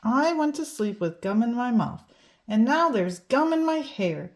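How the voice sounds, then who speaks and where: A woman's voice: a little high, lively intonation, unhurried. A woman reading a children's picture book aloud expressively, drawing out key words for emphasis. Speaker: storybook reader.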